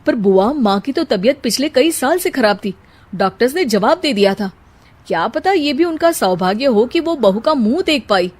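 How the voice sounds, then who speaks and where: A woman's voice: a little high, animated, moderate pace. Lady doing a young guy in love for a cartoon, earnest and reassuring.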